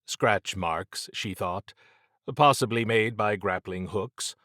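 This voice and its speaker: Low-voiced male audiobook narrator. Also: slow pace, sharp tone, no echo, studio quality. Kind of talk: dramatic scripted fiction narration.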